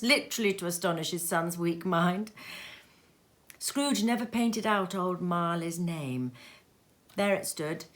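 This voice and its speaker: A middle-aged woman reading a classic novel aloud at home in an expressive, theatrical way with playful asides. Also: low-pitched. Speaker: reader. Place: cozy sitting room at home. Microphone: seated in a chair facing the camera.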